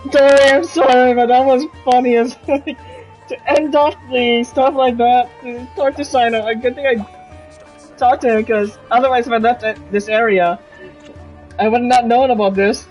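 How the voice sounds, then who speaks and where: A man's voice: very high-pitched, steady tone, moderate pace, low-quality recording. A man giving casual livestream commentary on a game, hesitant and rambling, with sentences that trail off. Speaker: game streamer. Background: soft game music.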